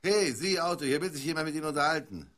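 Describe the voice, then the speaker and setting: Fussy, bright-voiced radio announcer. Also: male voice, a little high, lively intonation, fast. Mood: distracted. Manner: repeats himself fussily. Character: put-upon, fussy male radio announcer, easily flustered. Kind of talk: German radio play acting.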